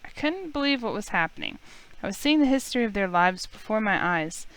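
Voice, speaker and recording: Woman reading game narration out loud, clean smooth voice, normal pitch. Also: sing-song, brisk, poor audio. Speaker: visual novel player and narrator. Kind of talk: scripted narration read aloud.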